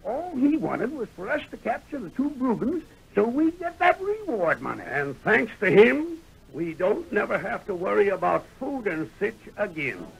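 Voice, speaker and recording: A man's voice: sits high, sing-song, normal speed, vocal fry, husky, full character voice, rough recording. An actor playing a folksy old townsman with a rustic American western accent in an old radio western. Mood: earnest, folksy. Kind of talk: 1940s radio western acting. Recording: old radio broadcast recording.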